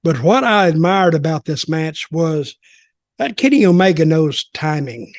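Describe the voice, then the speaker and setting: Older man with a fairly high voice. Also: lively intonation, unhurried, dry recording, clean studio sound. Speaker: wrestling commentator and podcast guest. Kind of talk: casual wrestling discussion.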